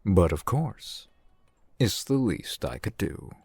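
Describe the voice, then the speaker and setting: A man's voice: on the low side, very animated, slow pace, creaky, darkish tone, breathy edge, dry recording, exaggerated voice. A man playing a courteous, shrewd fantasy shopkeeper in an ASMR roleplay, with formal, eloquent merchant patter. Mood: persuasive, earnest. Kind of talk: ASMR fantasy roleplay, softly acted one-sided dialogue.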